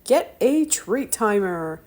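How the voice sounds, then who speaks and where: A woman voicing a brash male TV ad announcer with an emphatic sales pitch in a children's storybook. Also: big pitch swings, takes it slowly, a little mellow. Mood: hyped, salesy.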